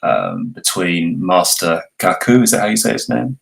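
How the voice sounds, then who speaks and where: A man's voice: sits low, huge pitch swings, moderate pace, vocal fry. A man speaking as a guest in a casual online discussion, thinking his points through as he goes. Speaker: cast member, guest. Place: remote online voice call.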